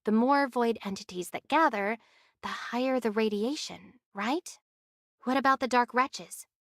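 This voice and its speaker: Young heroine, anime game, slightly high pitch, earnest, protective, direct, dry studio sound. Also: female voice, measured pace, dry recording. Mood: questioning, reasoning.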